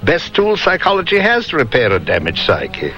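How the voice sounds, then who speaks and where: An actor playing a calm, learned psychiatrist who explains things in a measured, gently authoritative way in a vintage radio drama. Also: male voice, fairly high pitch, dull audio, full character voice. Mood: assured, authoritative. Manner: measured explaining, soft chuckles. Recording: hissy vintage radio broadcast recording.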